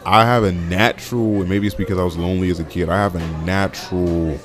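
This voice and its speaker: A young man with a deep voice hosting a casual solo podcast, rambling with many restarts and fillers. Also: fairly even intonation, fast talker, soft background music. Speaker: podcast host.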